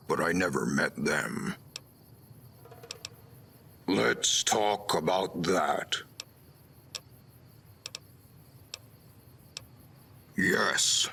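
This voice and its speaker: Very deep, gravelly super mutant. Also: male voice, bright, forceful tone, a little hiss or hum, full character voice. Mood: proud, friendly. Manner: slow, halting, deliberate delivery. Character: gentle, sorrowful old super mutant veteran soldier. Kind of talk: video game character dialogue.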